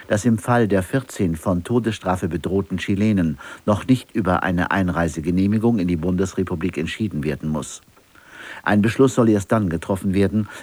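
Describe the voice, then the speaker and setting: A man with a standard German accent reads a formal scripted radio news bulletin in a measured, factual, carefully enunciated way. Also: low-pitched, a little mellow, no room sound. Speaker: radio newsreader. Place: radio studio.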